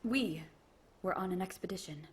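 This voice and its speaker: A woman's voice: on the low side, normal speed, close to an everyday voice. An actress playing a proud, righteous woman knight from an ancient era, with grand, archaic diction, in a video game. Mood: grieving, dazed.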